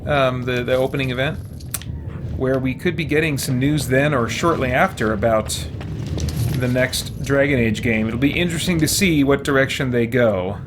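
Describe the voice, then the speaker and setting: A man's voice: mid-range voice, normal speed, background noise. A man streaming a video game, chatting casually and thinking aloud in a rambling, musing way. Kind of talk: casual livestream chat over gameplay.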